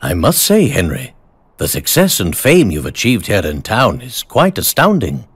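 An actor playing a calm, courteous gentleman in an animated game, speaking in a polite, formal manner. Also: fairly low pitch, normal speed, slightly rough, no echo, full character voice. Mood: composed, gracious. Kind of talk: animated game cutscene acting.

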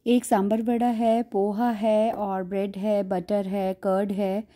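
A woman's voice: medium pitch, level tone, slow. A woman in her forties vlogging casually to a phone held at arm's length, chatting about her food and seats on a flight. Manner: chatty, describing food and seats as she films. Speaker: travel vlogger. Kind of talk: casual vlog chat to camera. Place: airport lounge and airliner cabin. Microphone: phone camera at arm's length. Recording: loud aircraft cabin noise. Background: airplane engine and cabin hum.

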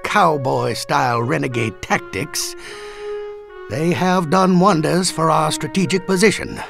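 Gravelly, stern army colonel. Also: male voice, on the high side, unhurried. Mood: measured. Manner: authoritative, formal military delivery. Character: stern older male army colonel, commanding, fatherly. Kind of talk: video game cutscene acting. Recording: music behind.

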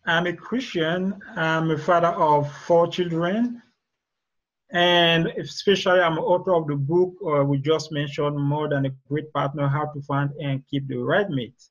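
A man with a Cameroonian accent speaks as a guest expert in an interview. His voice is high for a man and husky, and the video call audio is dull and lo-fi. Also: steady tone, unhurried. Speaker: guest author and relationship expert. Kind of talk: interview answers, explaining.

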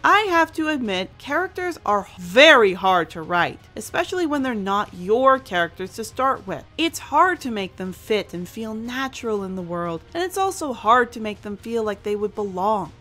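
A woman's voice: a little high, sing-song, normal speed, few pauses, sharp tone. A woman hosting a scripted review, speaking emphatically and stretching out key words. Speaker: reviewer, show host. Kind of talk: scripted review commentary over animation. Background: music.

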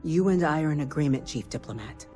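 Commanding council leader. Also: female voice, low voice, expressive, fast. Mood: composed. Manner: commanding, formal. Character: authoritative female council leader, decisive and composed. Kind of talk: video game character dialogue. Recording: loud music underneath.

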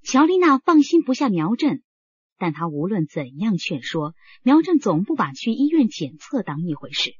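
A woman's voice: medium pitch, very animated, moderate pace, slightly rough, lo-fi sound. A woman reading scripted dramatic narration for a radio drama.

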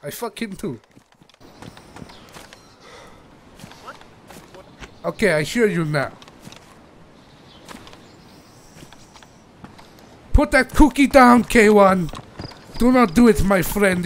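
A man's voice: sits high, lively intonation, normal speed, a little hiss or hum. Man putting on a brash Russian commander. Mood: mocking. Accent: put-on Russian. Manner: broken English, bragging, mocking. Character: crude boastful Russian male squad commander. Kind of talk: in-game voice chat roleplay.

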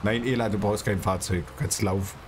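A man's voice: lowish voice, fairly even intonation, a little fast, a little mellow, a little hiss or hum. A man streaming a farm game, bantering with friends in a teasing, mock-formal way through a headset. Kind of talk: playful in-character banter.

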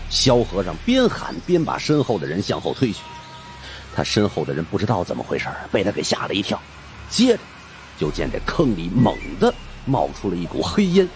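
A man's voice: sits low, huge pitch swings, brisk, faint music behind. A man narrating a horror story in a suspenseful, theatrical way. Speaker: audiobook narrator.

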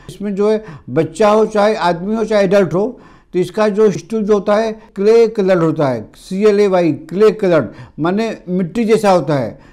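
Older man, from India, homeopathy doctor, talks to viewers as friends, fairly high voice, mellow tone, lapel mic. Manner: addresses viewers as friends, repetitive explanatory phrasing. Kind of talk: direct-to-camera health lesson. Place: indoor studio before a green screen.

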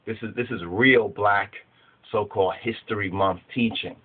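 A man's voice: lowish voice, steady tone, normal speed, husky. Man teaching on a tinny webcam. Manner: conversational, many fillers and restarts, holds books up to camera. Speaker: religious teacher. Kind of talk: informal teaching video. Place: small room at home, indoors. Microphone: webcam close-up. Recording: tinny phone-like webcam audio.

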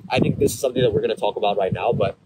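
Fast-talking young man from Thailand, outdoors. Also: lowish voice, steady tone. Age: 20s. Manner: earnest, explanatory, many filler ums. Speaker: guest. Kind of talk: casual conversation. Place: outdoors under trees. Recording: loud outdoor ambient noise.

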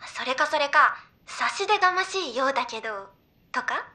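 A woman's voice: high-pitched, expressive, moderate pace, sharp tone. A woman playing a young female idol in a Japanese anime audio drama, talking in casual, persuasive, girlish banter. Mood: amused dread.

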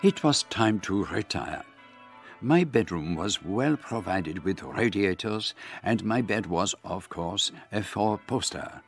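An actor playing a precise, fussy older detective, narrating and speaking in a measured, formal way. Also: male voice, fairly low pitch, animated, soft background music. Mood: wry. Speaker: narrator and lead character. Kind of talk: radio drama narration.

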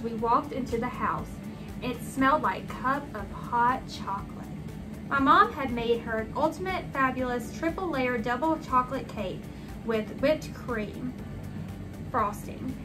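Young woman doing kids story time, high voice, expressive, music behind her. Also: poor audio. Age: young adult. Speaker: storybook reader. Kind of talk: expressive children's read-aloud narration. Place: small furnished room indoors.

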